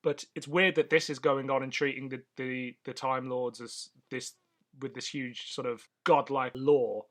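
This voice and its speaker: Male co-host for a casual podcast: medium-pitched voice, wry, opinionated, conversational. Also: fairly even intonation, measured pace, fairly bright tone. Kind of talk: casual chat.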